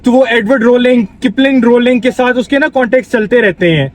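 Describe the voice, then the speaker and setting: Man putting on a high-pitched, pushy Pakistani father. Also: fast talker. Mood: condescending, probing. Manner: insistent, repeats himself, mixes English into Urdu. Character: pushy, condescending, fussy father seeking a tutor. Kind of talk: prank phone call. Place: inside a car while driving.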